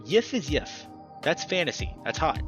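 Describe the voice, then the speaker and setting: A man's voice: fairly high pitch, moderate pace, over music. Man reading a story game aloud. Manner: animated, jokey asides between steady narration. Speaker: let's play host. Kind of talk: reading a visual novel aloud with commentary.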